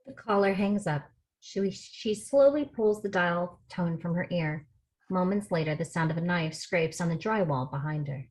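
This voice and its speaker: Low-voiced woman reading stage directions. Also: moderate pace, a little mellow, low-quality recording. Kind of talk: scripted narration at a table read.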